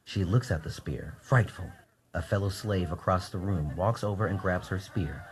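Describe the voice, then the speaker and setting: A man's voice: deep voice, normal speed. A man narrating a scripted radio drama in a theatrical storytelling manner.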